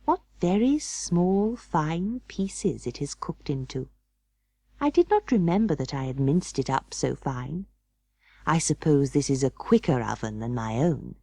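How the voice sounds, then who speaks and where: A woman's voice: low-pitched, big pitch swings, a little slow, mellow, dry recording, full character voice. A woman voicing a prim, fussy female cat hostess in a storybook reading, proper and emphatic. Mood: prim, polite. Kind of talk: audiobook storybook character voice.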